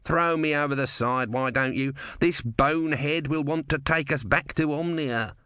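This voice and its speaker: A man voicing an irascible, insulting god in an audiobook, shouting in exasperation and sarcasm. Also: on the high side, moderate pace, clean tone, dry recording, clean studio sound, voice pushed up, natural voice. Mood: grumbling, scornful. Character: irascible male god, shrill, insulting, screaming. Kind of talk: audiobook character voice.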